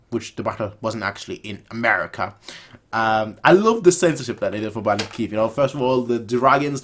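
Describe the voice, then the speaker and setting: A man's voice: medium pitch, a bit bright. A man giving casual, off-the-cuff commentary as a reaction host, rambling and emphatic, often repeating phrases to drive home his opinions.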